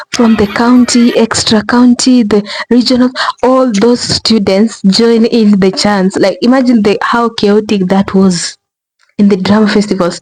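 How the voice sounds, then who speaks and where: A woman's voice: on the high side, darkish tone, breathy edge, low-quality recording. A young woman with a Kenyan accent, talking casually to her viewers in a rambling, self-correcting way. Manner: rambling, many restarts and filler words. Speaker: vlogger.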